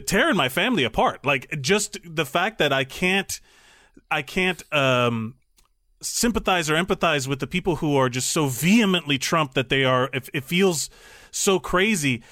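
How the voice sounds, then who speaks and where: A man hosting a podcast in casual conversation, halting and repeating words as he thinks aloud. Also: a little high, animated, sharp tone, dry recording, clean studio sound. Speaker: podcast host.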